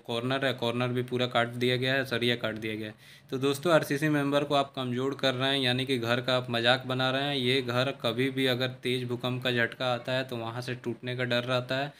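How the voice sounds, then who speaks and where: A man's voice: medium pitch, fairly bright tone, slight background noise. A man explaining construction mistakes to viewers while walking through an unfinished brick house, recorded on a handheld phone. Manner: instructive, addresses viewers as friends, frequent restarts. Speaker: civil engineering channel host. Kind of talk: walkthrough explainer on a building site.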